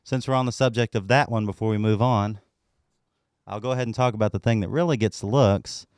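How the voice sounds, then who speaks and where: A man from Texas with a deep voice, chatting as a guest musician about his gear in a relaxed, easygoing way. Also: brisk, clear, resonant tone, no echo, clean studio sound. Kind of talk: casual interview chat.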